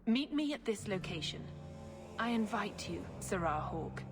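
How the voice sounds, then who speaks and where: A woman playing a condescending, scheming priestess in a video game, speaking in a measured, formal way. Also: medium pitch, sing-song. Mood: haughty, calculating. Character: scheming, condescending Chantry priestess, smooth political manipulator. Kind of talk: scripted video game acting. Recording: game music behind the voice.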